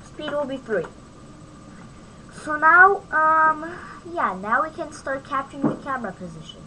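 A woman giving a casual, off-the-cuff software tutorial, thinking aloud as she demonstrates on a home microphone recording. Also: sits high, animated, a little slow, a little hiss or hum, rough recording. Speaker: tutorial host.

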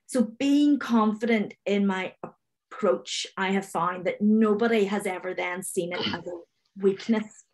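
Northern Irish woman, 40s, video call panellist; open stammer with repeats and blocks, unhurried, self-assured. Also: medium pitch, darkish tone, low-quality recording. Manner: confident, direct. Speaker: webinar panellist, finance manager. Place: home room, indoors. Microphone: video call webcam.

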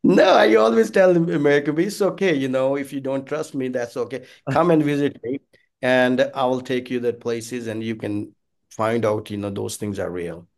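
A middle-aged man from Pakistan, a native Punjabi speaker with a Pakistani accent, chatting as an interview guest in halting, non-native phrasing. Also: mid-range voice, gravelly. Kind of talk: casual conversational interview. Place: small indoor office, on a video call. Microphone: video call.

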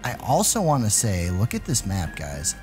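A man's voice: lowish voice, wildly swooping pitch, moderate pace, a little mellow. The guy you'd hear on a gaming channel, swooping up and down in mock-alarmed play-by-play to his viewers while music plays behind him. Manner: exclamatory, mock-alarmed, addresses viewers as guys. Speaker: gaming video host. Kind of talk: excited gameplay commentary with playful roleplay.

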